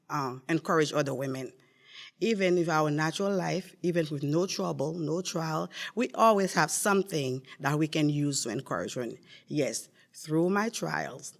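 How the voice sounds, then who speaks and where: An older woman from Haiti, a podcast guest telling her faith story earnestly, with many pauses and repeated words. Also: low voice. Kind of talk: casual testimony.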